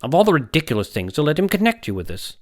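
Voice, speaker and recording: A man voicing a young male doctor in an audiobook, sharp, skeptical and challenging. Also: fairly high pitch, big pitch swings, fast talker, voice pushed up. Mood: scornful, angry. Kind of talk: audiobook character voice.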